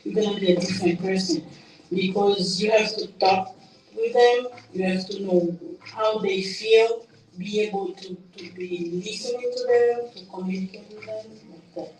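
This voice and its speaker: A woman with a former student, giving an earnest, halting testimonial interview. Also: medium pitch, steady tone, darkish tone. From Haiti. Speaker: former student, program participant. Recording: very echoey room, distant mic.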